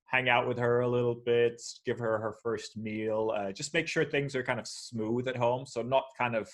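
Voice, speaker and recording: Male guest from Portugal, relaxed and rambling, on a video call. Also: mid-range voice, moderate pace. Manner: relaxed, rambling with many fillers and restarts. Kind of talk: casual video call chat. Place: shared office space.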